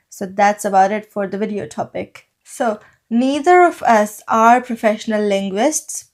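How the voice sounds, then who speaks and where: A young woman with a Pakistani accent chats through a podcast, her voice sitting at an ordinary middle pitch. Urdu is her first language, and she explains things at length, leaning on "like" and "so" as she goes. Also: darkish tone. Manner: chatty, explains at length with many "like" and "so" fillers. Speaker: podcast co-host. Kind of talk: casual conversational podcast.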